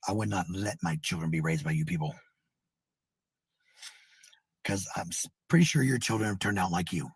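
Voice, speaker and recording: This is a man with a markedly raspy voice that breaks into gravelly fry. He delivers an informal, combative rant full of swearing and repeats himself to drive points home. The sound is dry. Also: deep voice, fast talker, dry recording. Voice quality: husky, raspy. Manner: combative, profane, repetitive. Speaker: parent speaking in a clip.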